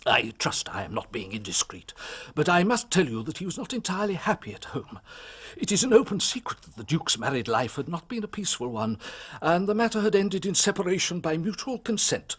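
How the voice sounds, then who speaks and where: Flustered, pompous headmaster, husky and high. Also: male voice, big pitch swings, a little fast, a bit bright, heavily acted. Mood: solemn, wounded. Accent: English. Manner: pompous, formal, flustered, long-winded. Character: pompous, flustered, self-important male headmaster and doctor. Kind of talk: radio drama acting.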